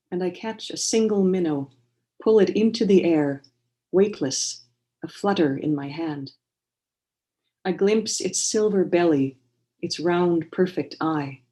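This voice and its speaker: Canadian woman poet on a video call, low-ish voice, slow and thoughtful, lots of ums. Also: mellow. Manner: thoughtful, measured, many fillers and restarts. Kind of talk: online book launch conversation and poetry reading. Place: home, over a video call.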